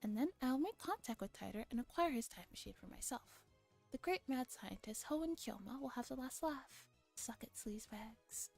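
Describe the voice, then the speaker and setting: A woman's voice: on the high side, animated, moderate pace, creaky, darkish tone, faint music behind. A woman voicing a pompous young male self-styled mad scientist in a visual novel, with grandiose, theatrical delivery. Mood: scornful, boastful.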